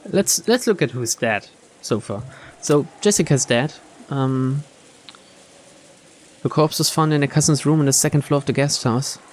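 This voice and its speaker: A man's voice: mid-range voice, normal speed, a little hiss or hum. A man hosting a let's-play, reading game text aloud and commenting casually. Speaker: gameplay host.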